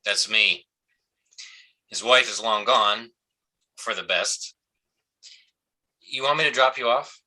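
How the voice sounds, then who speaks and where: An actor playing a blunt, weary cop in a staged reading, talking in a halting, colloquial way. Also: male voice, lowish voice, sharp tone, exaggerated voice. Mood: matter-of-fact. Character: blunt, gruff small-town male cop, weary and sardonic. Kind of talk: live staged play reading.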